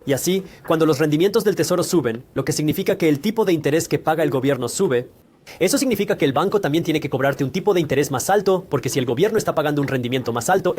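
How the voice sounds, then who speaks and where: A man's voice: on the high side, a little mellow. A man presenting a scripted educational explainer, speaking directly to the listener and laying things out step by step. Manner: didactic, explains step by step with examples. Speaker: host of a personal finance explainer. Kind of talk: scripted educational narration, addressing the viewer directly.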